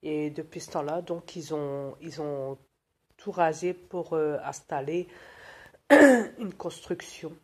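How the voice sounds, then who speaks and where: Low husky woman telling a story. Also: slow pace. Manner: animated, colloquial, many fillers. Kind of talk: casual spoken storytelling.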